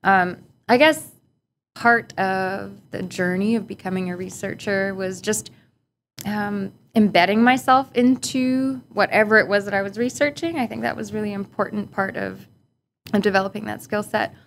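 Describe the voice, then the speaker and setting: A woman from Barbados speaks in a medium-pitched voice, reflective and relaxed, often pausing on fillers. She laughs easily as she talks through her work. A faint rumble sits under the recording. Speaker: guest, policy analyst. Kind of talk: casual interview conversation. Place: office boardroom.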